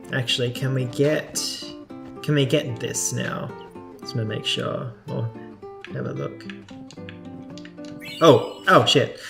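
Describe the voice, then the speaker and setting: Casting: let's play host, informal gameplay commentary, faint game music underneath. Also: mid-range voice.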